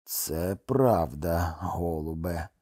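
A man's voice: low voice, very slow, warm tone, pitched below the performer's own voice, exaggerated voice. A man voicing a skeptical ship's boatswain in a storybook, drawling and doubtful. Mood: wary, drawling. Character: wary, slow-talking ship's boatswain, skeptical drinking companion.

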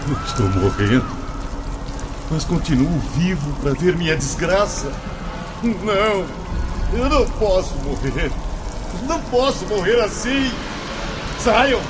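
An actor playing a surly, desperate tormented soul in a radio drama, agitated and theatrical. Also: male voice, sits high, big pitch swings, takes it slowly, long pauses, full character voice, low-quality recording. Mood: desperate, disgusted. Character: surly, tormented male cave-dwelling soul, disgusted and desperate. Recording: loud music under the voice.

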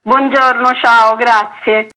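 A woman, a festival director, explaining her project in a measured way with a few hesitations, as a guest on a radio interview. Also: fairly high pitch, fairly even intonation, sharp tone, poor audio. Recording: radio broadcast.

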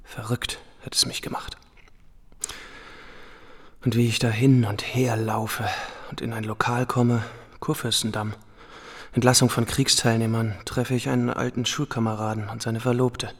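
An actor playing a restless, excitable young war veteran, boastful and bitter, in a radio play. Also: male voice, mid-range voice, unhurried. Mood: excited, boastful. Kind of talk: German radio play acting. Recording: long hall reverb.

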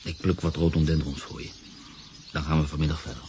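A man's voice: extremely low pitch, level tone, brisk, darkish tone, slight background noise. An actor playing a brooding, cynical man in love who narrates a radio drama. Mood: solemn, tender.

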